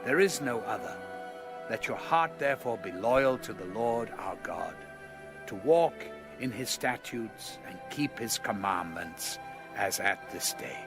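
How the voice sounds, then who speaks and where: A man's voice: on the low side, lively intonation, unhurried. A man playing a reverent king addressing his people in a dramatized Bible reading, solemn, earnest and formal. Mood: reverent exhortation. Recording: music under the voice. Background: music.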